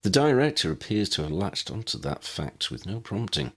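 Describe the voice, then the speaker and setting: A man's voice: low voice, very animated, normal speed, a bit bright, slightly rough, exaggerated voice. Man voicing a wordy, wry, formal advisor. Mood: measured, formal.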